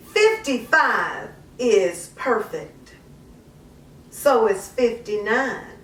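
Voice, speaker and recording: A woman's voice: mid-range voice, fairly bright tone, slight background noise, heavily acted. A woman performing a playful poem as sassy, flirtatious women boasting of their charms, teasing and savoring each phrase. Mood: knowing, coy. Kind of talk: playful dramatic poetry reading. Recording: roomy echo, distant microphone.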